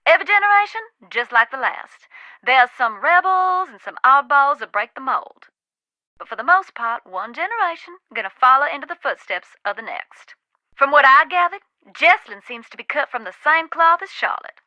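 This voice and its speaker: Wry Southern ghost expert, American South accent, folksy, teasing, haunted, high forceful voice, dry close sound, video game. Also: female voice, expressive, moderate pace, dry recording, muffled recording, full character voice. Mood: frank, knowing.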